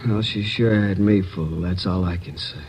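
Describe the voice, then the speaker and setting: An actor playing a shaken married man recovering in hospital after a robbery, talking in a halting, hesitant way. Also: deep voice, fairly even intonation, darkish tone, breathy edge. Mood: weak, uneasy. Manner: hesitant, halting, stumbles over words. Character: shaken married male robbery victim, bedridden. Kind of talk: 1950s radio drama acting. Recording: dull old radio recording with faint hiss.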